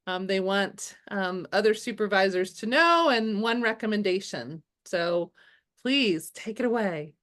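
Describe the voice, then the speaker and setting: Woman host, slow and hesitant, lots of fillers. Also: mid-range voice, fairly bright tone. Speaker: host, clinical supervisor. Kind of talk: interview hosting.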